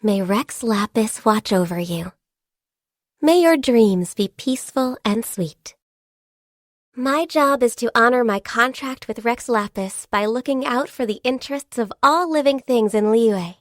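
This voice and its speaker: An actress playing a gentle, diligent, shy and youthful half-beast secretary in a video game, speaking politely and earnestly. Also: female voice, fairly high pitch, a little slow, dry recording, clean studio sound, natural voice. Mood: earnest, formal. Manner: polite, earnest, hesitant when flustered. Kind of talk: video game character voice lines.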